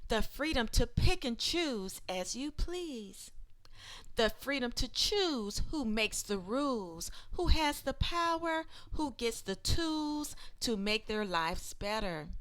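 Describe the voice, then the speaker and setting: Woman reading aloud at a slow pace. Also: a little high, sing-song, fairly bright tone. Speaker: educator reading a children's book aloud. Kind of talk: expressive read-aloud of rhyming children's book.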